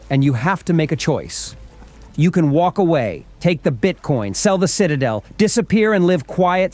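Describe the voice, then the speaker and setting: An actor playing an earnest, regretful uncle in an audio drama, speaking solemnly and tenderly with deliberate pauses. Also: male voice, fairly high pitch, a little mellow. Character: earnest, regretful uncle leaving a last message.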